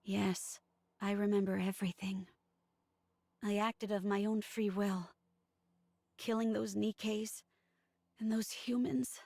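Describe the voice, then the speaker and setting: A woman's voice: mid-range voice, flat delivery, slow pace, gravelly, pauses often, a little mellow, no room sound. A woman voicing a subdued, earnest, remorseful female android soldier in a dramatic video game scene. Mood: remorseful, haunted. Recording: music behind the voice.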